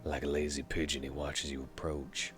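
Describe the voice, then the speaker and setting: A man reading game text aloud for a let's play in a dramatic, lively way. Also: very deep voice, moderate pace, over music.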